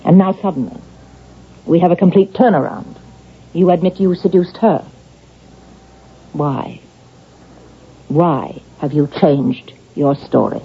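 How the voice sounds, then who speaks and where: A woman acting in a vintage radio drama as an insistent psychiatrist, measured and deliberate as she questions a suspect. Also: sits low, slightly rough, full character voice. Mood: confronting. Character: probing, insistent psychiatrist questioning an accused killer. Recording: dull old radio drama recording.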